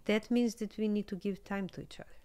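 A woman in her thirties from Serbia with a Serbian accent, chatting candidly as a guest on a podcast in a small studio, speaking close into a boom microphone. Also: brisk, clear, resonant tone. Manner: candid, animated, laughs often. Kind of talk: casual interview chat. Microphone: studio mic on boom arm, headphones.

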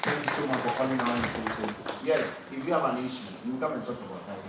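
A man's voice: medium pitch, fast, a crowd in the background. A young man and student journalist, chatty and joking with many fillers, introducing speakers with a handheld mic at a seminar room lectern. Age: young adult. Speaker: event emcee, student journalist. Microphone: handheld microphone. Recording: echoey room, far from camera, noisy.

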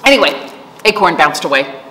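A middle-aged woman telling a children's story as a storytime host, animated and expressive, with asides to her listeners. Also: lowish voice, normal speed. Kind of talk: expressive read-aloud storytelling for young children. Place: indoor library storytime room. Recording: roomy reverb with faint room noise.

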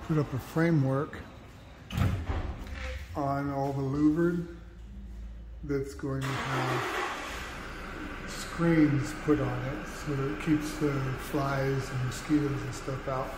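Slow, plainspoken man touring a renovation. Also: mid-range voice. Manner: plainspoken, matter-of-fact. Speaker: homeowner showing his renovation. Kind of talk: casual walk-through narration. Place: house under renovation, in and out of doors. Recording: echoey rooms with loud background noise.

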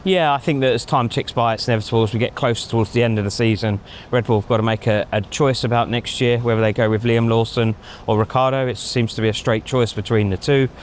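A middle-aged man giving measured opinions in a casual outdoor chat, thinking aloud with frequent ums, on a lapel mic. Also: mid-range voice, steady tone, slight background noise. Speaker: pundit. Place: outdoors in a racing paddock at night. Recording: faint outdoor street ambience.

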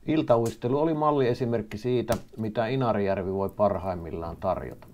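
A man's voice: on the low side, darkish tone, a little hiss or hum. A man narrating a fishing trip video in a scripted style.

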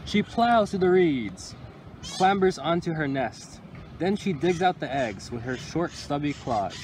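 A young man reads a picture book aloud for little kids in a fairly high voice that moves up and down a lot. His phrasing is expressive and deliberate, like a storyteller. He is outdoors, with clear ambient noise around him. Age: young adult. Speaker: county environmental employee reading to children. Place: outdoors under a tree by water.

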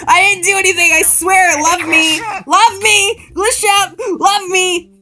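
A young woman improvising a Minecraft roleplay with friends in an excitable, dramatic, playful way. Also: very high-pitched, unhurried, faint music behind. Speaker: gamer in a group roleplay video.

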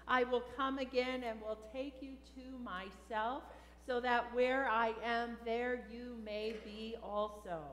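Slow-speaking woman pastor in an echoing church. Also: fairly high pitch, fairly bright tone, a little hiss or hum. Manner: measured, deliberate phrasing. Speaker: pastor leading a funeral service. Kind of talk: solemn liturgy and scripture reading. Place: large church sanctuary, indoors. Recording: echoey church with long reverb.

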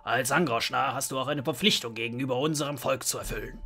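A man playing a stern, unyielding dwarven father in a fantasy audio drama, speaking formally and with authority in a raspy voice. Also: mid-range voice, fairly even intonation, fast talker, bright, forceful tone, heavily acted. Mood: solemn, formal.

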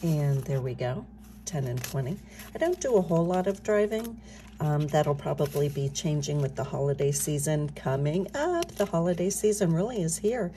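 Soft-spoken middle-aged woman hosting a budgeting vlog; low, mellow, slightly scratchy morning voice. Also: expressive, normal speed. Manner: soft-spoken, talking softly. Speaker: budgeting channel host. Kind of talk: casual chat while stuffing cash envelopes. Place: home desk, indoors.

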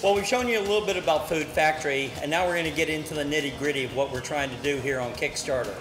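Older male chef pitching his business, folksy and salesy, slightly higher voice, long room reverb, faint music under. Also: fast talker. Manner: folksy, salesy, plain-spoken. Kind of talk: promotional pitch to camera. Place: commercial kitchen and office.

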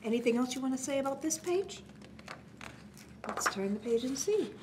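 Animated, high-voiced woman hosting story time. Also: normal speed. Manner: exaggerated questioning, prompting delivery. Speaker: children's story time host. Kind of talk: children's story time, talking to young listeners. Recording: clear background noise.

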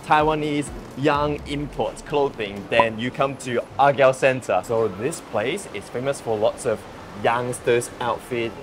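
A young man from Hong Kong, a native Cantonese speaker, narrating a relaxed travel vlog outdoors on busy city streets. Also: medium pitch, measured pace, over music. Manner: relaxed, explanatory, chatty. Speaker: travel vlogger.

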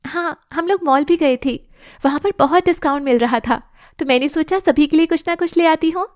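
A woman voicing a kind, gentle young wife who speaks politely in an animated family drama. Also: high voice, brisk, no echo, clean studio sound, close to an everyday voice.

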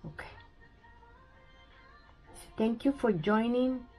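A young woman chatting excitedly in a casual vlog, thinking aloud as she goes. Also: a little high, very slow, soft background music. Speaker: vlogger.

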